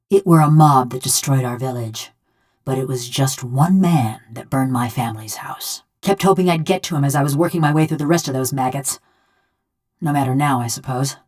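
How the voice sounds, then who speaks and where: Low-voiced, folksy, vengeful bronze killer. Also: female voice, darkish tone. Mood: bitter, brooding. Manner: folksy rustic drawl, blunt and sardonic. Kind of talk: video game character dialogue.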